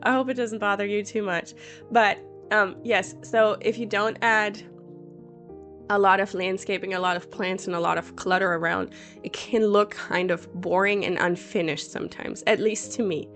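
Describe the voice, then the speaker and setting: A woman, around her thirties, with a hoarse voice after a cold, chatting casually and rambling with many fillers over a video game build. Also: mid-range voice, fairly even intonation, normal speed, fairly bright tone, faint music behind. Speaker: Sims builder and YouTuber.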